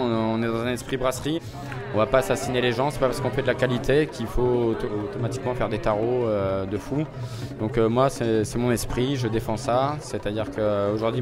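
A man in his forties, a restaurant owner, talking casually in an interview with a relaxed, hesitant manner and many fillers, into a handheld mic in a small restaurant. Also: medium pitch. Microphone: handheld reporter mic. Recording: music playing behind.

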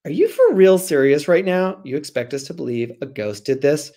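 A man voicing a snooty, aggrieved teenage popular girl, scornful and shrill, in a dramatic storybook reading. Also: lively intonation, moderate pace, mellow. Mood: incredulous.

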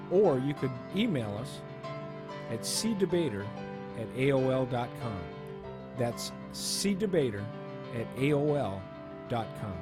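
A man reading a scripted announcement, clearly repeating the contact details. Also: mid-range voice. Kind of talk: scripted ad read. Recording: voice-over with music bed.

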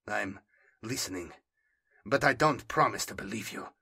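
A man's voice: on the low side, moderate pace, gravelly, pushed and bright, breathy edge, exaggerated voice. A man voicing an earnest, sceptical, stubbornly loyal male scribe in an audiobook, terse and guarded.

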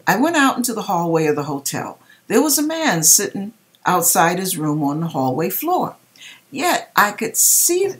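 A middle-aged woman reading aloud and commenting in a deliberate, dramatic way with pauses, recorded in a home kitchen. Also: on the low side. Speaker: vlogger reading a viewer's dream and commenting. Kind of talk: expressive read-aloud with religious commentary.

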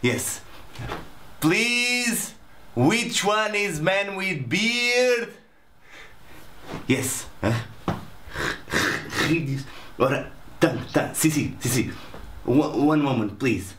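Panicky waiter, broken english, stammers, squeaky and high, roomy sound with faint hiss. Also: male voice, sing-song, takes it slowly, pauses often, a bit bright, full character voice. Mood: flustered. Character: flustered male hotel waiter, eager and confused. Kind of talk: sitcom acting.

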